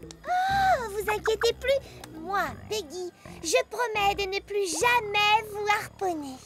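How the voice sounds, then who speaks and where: A woman's voice: very high voice, sing-song, slow pace, heavily acted. A woman voicing a sweet, naive, eager little girl microbe in a French children's cartoon dub. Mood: cheerful. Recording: loud cartoon music behind the voice.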